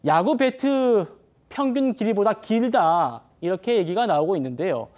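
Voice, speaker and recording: A man anchoring a TV news talk show in a studio, with a measured and formal presenter delivery. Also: sits high, expressive, darkish tone.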